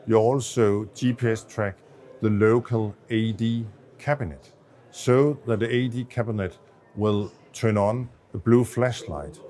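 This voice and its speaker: Low-voiced Danish man explaining. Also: a little mellow. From Denmark. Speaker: associate professor of medicine, expert interviewee. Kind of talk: explanatory interview answers on a medical project.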